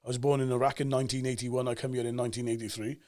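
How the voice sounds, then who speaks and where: Cardiff guy, 40s, talks super fast, punchy and animated, welsh accent thick. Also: medium pitch. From Cardiff, Wales. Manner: animated storyteller, fast and emphatic, acts out quoted voices. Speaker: actor and podcast guest. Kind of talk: casual podcast chat.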